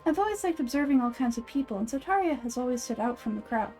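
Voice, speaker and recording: A woman acts out a wise little goddess from an anime game. The voice is high and mellow, speaking in a measured, earnest, gentle way over faint music. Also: animated. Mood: solemn, gentle. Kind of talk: fan dub of anime game dialogue.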